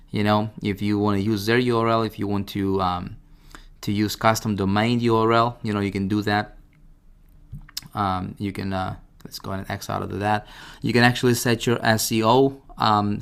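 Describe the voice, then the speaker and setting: A man presenting a casual, informal software tutorial, talking directly to the listener and often checking that they follow. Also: lowish voice, steady tone, normal speed, creaky, clean tone. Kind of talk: casual screen-share product walkthrough.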